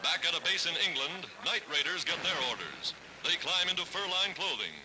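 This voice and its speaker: A man's voice: on the high side, lively intonation, fairly quick, background noise. An American man narrating a 1940s newsreel from a script, with an emphatic, declamatory delivery on a vintage film soundtrack.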